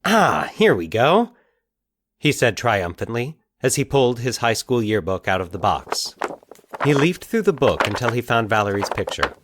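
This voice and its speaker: A man's voice: mid-range voice, expressive, moderate pace, fairly bright tone. A man reading scripted fiction as the narrator of a story.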